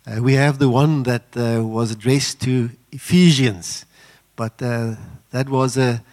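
Older man, Afrikaans speaker, slow deliberate sermon, earnest, halting. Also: mid-range voice, fairly even intonation, darkish tone. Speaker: guest preacher.

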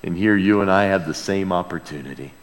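A man preaching an expository sermon, reverent and emphatic, moving between loud proclamation and hushed emphasis. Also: low-pitched, a little fast. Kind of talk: expository sermon with dramatic scripture reading. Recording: long reverberant hall sound.

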